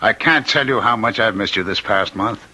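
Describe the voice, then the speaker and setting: An actor in a radio drama playing a cultured, eerily courteous condemned murderer who was once a professor, speaking in deliberate, theatrical phrases. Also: male voice, lowish voice, pushed and bright, slightly rough, full character voice, low-quality recording. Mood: warm, admiring.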